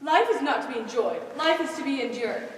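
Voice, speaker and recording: A woman's voice: high-pitched, animated, a little fast. A teenage girl acting a stern, humorless magistrate in a school stage comedy, with pompous, formal, emphatic delivery. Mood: stern, defensive. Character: stern humorless female magistrate, indignant and duty-bound. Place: school stage, recorded from the audience. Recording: echoey hall from far off, noisy.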